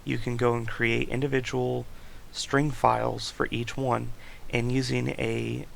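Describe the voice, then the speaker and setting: Young male student, creaky flat voice, explaining code over screen capture on a hissy desk mic. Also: mid-range voice, a little slow. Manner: reads user stories aloud, explains code step by step with fillers. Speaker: student presenter. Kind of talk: screen-recorded project walkthrough.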